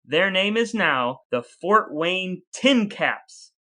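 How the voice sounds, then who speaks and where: A man's voice: high voice, sing-song, slow pace, sharp tone. A man co-hosting a casual podcast chat, playful, quizzing and joking with his co-host.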